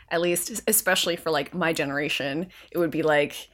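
A woman's voice: deep voice, fairly even intonation, a little slow, a bit bright. A woman illustrator from Connecticut, born in Korea, chatting as a podcast guest in a laid-back way with frequent fillers. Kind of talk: casual interview chat.

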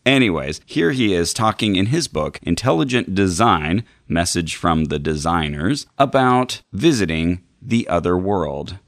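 A man's voice: deep voice, lively intonation, measured pace, hardly any pauses, no room sound, clean studio sound. A man co-hosting a podcast, chatting and reading book passages aloud. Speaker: podcast co-host.

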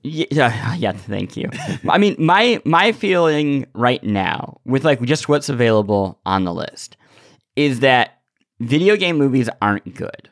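A man with a medium-pitched voice hosts a casual panel chat. He sounds hesitant, reworking his phrasing as he thinks aloud, in a dry recording with little room sound. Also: very animated, measured pace, no room sound. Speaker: podcast host.

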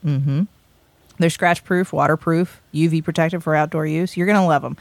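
A woman hosting a podcast, chatting casually through a fundraising pitch with restarts and light laughs. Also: steady tone, normal speed, no room sound. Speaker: podcast host. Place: small recording studio.

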